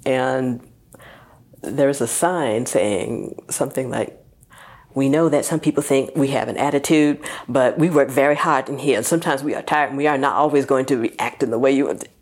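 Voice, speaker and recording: Woman, husky low voice, dry wry storytelling, drops almost to whispers. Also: normal speed, darkish tone. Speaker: community interviewee. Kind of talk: humorous anecdote.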